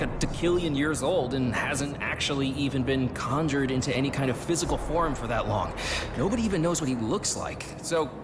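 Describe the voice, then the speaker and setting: A man's voice: medium pitch, natural voice. An actor playing an eager, talkative young male intern in a video game cutscene, speaking informally and a little nervily. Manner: informal, chatty, rambling. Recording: loud vehicle and machinery noise behind.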